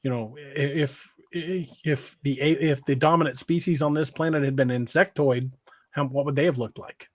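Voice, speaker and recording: A man's voice: on the high side, fairly even intonation, normal speed, no room sound. American man in dry, casual podcast chat. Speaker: podcast co-host. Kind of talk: casual livestream chat, reading viewer comments.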